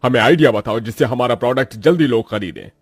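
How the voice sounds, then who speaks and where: A man's voice: mid-range voice, fast talker, breathy edge, dry recording, studio quality, soft background music. A man voicing a stern but fair company chairman in a cartoon moral story, formal and authoritative. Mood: stern, demanding.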